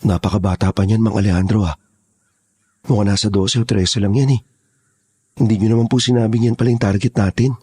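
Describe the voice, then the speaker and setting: A nervous young henchman in a horror audio drama, acted by a man. He has a dark, husky voice and answers his boss with hesitant deference. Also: on the low side, steady tone, a little fast, pauses often. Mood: uneasy surprise. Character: nervous young male criminal, deferential rookie kidnapper.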